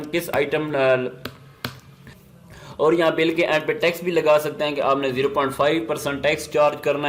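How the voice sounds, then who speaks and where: Pakistani man narrating a tutorial, noisy recording. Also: medium pitch. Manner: explanatory, mixes in English business terms. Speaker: software tutorial presenter. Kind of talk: instructional software demo narration.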